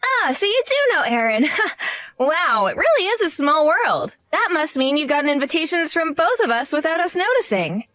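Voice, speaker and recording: A woman's voice: high voice, very animated, normal speed, fairly bright tone, no echo. A woman voicing a bubbly, condescending bride-to-be. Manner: bubbly, patronizing. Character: cheerful, condescending young bride-to-be sister. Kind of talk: voiced story drama.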